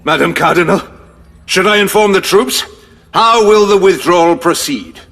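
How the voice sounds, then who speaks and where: An actor playing a dutiful, loyal army general who speaks with crisp, clipped military authority. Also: male voice, high voice, expressive, normal speed, a bit bright, heavily acted. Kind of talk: audio drama acting. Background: music score.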